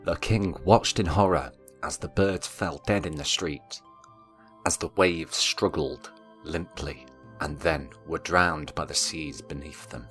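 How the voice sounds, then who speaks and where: A man narrates in an exceptionally deep voice. He sounds grave and theatrical, placing his words deliberately. Quiet music runs underneath the storytelling. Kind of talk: dramatic scripted story narration. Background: instrumental music.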